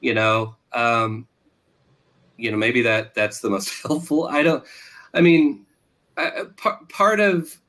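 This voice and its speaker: A middle-aged man gives informal interview answers. His voice sits at an average male pitch. He speaks haltingly, interrupting himself and circling back to his point. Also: fairly bright tone. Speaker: author being interviewed, teacher.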